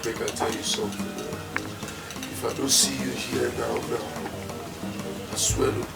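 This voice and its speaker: A man's voice: mid-range voice, fairly even intonation, a little slow, creaky. A man with a Nigerian accent playing an impatient, threatening gang boss in a film drama. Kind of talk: film drama dialogue in Pidgin English. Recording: echoey, distant mic, loud music.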